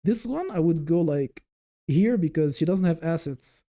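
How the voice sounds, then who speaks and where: A man's voice: a little high, lively intonation, moderate pace, warm tone. A man hosting a casual livestream, rambling and thinking aloud as he chats with viewers. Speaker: livestream host.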